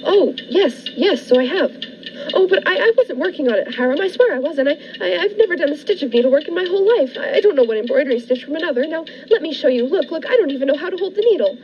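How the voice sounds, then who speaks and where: A woman's voice: high voice, expressive, quick pace. A woman playing a frightened, fretful captive in a 1940s-style radio thriller, stammering and pleading in melodramatic fashion. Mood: flustered. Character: frightened, fretful woman trapped by a menacing man. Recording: dull lo-fi radio play recording with music.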